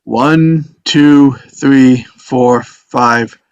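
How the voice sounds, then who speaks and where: Man playing a slow, muffled jury foreman. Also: medium pitch, clear, resonant tone. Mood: loud, formal. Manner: loud, deliberate, procedural. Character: male jury foreman running a formal vote. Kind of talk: dramatic table read of a stage play.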